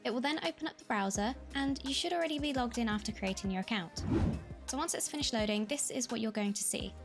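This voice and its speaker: A woman with a fairly high voice guides listeners through a software tutorial. Her delivery is friendly and keen, like a prepared walkthrough with casual touches. Music plays behind her. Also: quick pace. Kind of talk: scripted tutorial narration with casual enthusiasm. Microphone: Blue Yeti desktop microphone. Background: background music.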